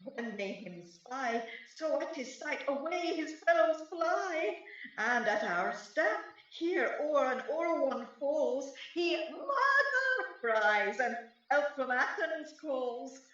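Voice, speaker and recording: A woman's voice: sits high, sing-song, slow, fairly bright tone, exaggerated voice. A woman playing a mischievous, gleeful sprite servant in a radio Shakespeare play, telling the tale in theatrical verse with giggling relish. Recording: very reverberant, distant, slightly dull sound.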